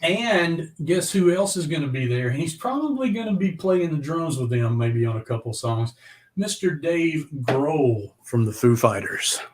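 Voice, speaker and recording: Profane, excitable man with a higher-pitched voice. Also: measured pace, a little hiss or hum, low-quality recording. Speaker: music show host and band member. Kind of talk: casual live chat.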